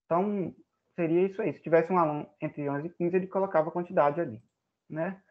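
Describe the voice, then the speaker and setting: A man's voice: on the high side, steady tone, fast talker, phone-quality sound. A man walking through a program as he runs it, thinking aloud and checking with the class. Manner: thinks aloud while typing inputs, frequent "né?". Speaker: student presenting code. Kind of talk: live coding walkthrough.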